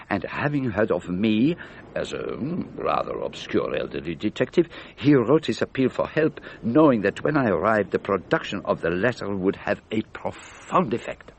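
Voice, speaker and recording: An actor playing an elderly detective in a radio drama, speaking in a precise, deliberate, patiently instructive way. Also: male voice, lowish voice, creaky, slight background noise. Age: older. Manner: precise, deliberate, didactic questioning. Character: elderly, methodical, patient Belgian male detective.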